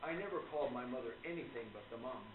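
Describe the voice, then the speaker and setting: Through loud noise and a thin, phone-like sound comes a man acting as a courtly gentleman author from a radio play. He speaks formally and earnestly, like a devoted believer in the spirit world expounding his faith. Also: mid-range voice, very fast, reverberant, lightly acted. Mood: fond, devout.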